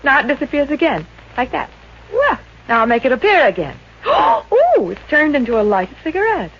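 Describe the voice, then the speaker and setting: A woman's voice: high voice, huge pitch swings, normal speed, exaggerated voice. A woman playing a sheltered rich young daughter, shy but frank and earnest, in 1950s radio comedy style. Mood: proud, playful. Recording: dull, noisy old-time radio recording.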